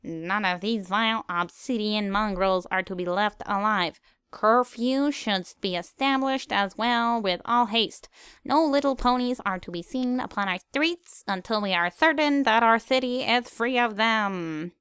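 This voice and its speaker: A woman's voice: mid-range voice, a little slow, fairly bright tone, clear, resonant tone, no room sound, clean studio sound, close to an everyday voice. A woman voicing a regal pony princess who speaks in a stately, commanding, archaic manner. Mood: imperious.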